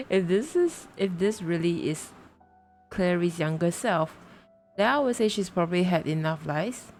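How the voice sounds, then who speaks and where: Woman streamer chatting casually over a game, lowish voice, music in the background. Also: expressive, a little slow, a little mellow. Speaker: live streamer.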